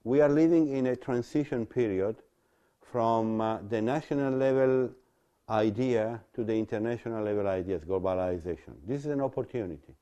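Italian guy lecturing, dark mellow voice, kinda halting, repeats himself and asks rhetorical questions. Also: medium pitch, clear, resonant tone, dull audio. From Italy. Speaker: religious lecturer. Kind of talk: explanatory talk to an audience.

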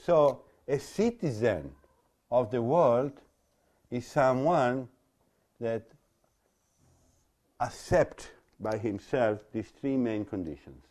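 A man with an Italian accent delivers an explanatory talk in a very dark, soft-edged voice of medium pitch. His phrasing is halting and repetitive, built around rhetorical questions as he lectures. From Italy. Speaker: religious lecturer.

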